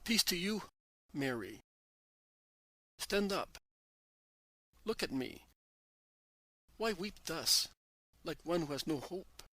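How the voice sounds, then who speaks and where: A man voicing a calm, grave male teacher who consoles and commands in a devotional story reading. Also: a little high, no echo, rough recording. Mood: gentle consoling. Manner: measured, solemn, consoling.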